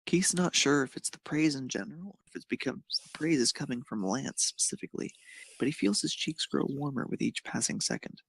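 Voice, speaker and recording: Guy narrating fanfic, kinda raspy w lots of fry, medium pitch. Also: expressive, brisk. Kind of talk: scripted narration of romantic fan fiction.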